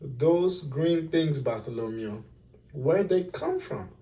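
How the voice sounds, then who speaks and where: A man acts out a storybook royal trumpeter, drowsy and indignant. He talks slowly in a mellow tone, set back a little from the mic. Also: a little high, lively intonation, far from the mic. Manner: yawning, then indignant.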